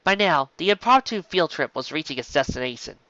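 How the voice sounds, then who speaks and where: An animated storyteller reads aloud in an expressive audiobook style, with pitch that rises and falls freely. The recording is close and dry, with a slightly dull, lo-fi tone. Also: brisk, dry recording. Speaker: story narrator. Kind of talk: expressive fan fiction audiobook narration.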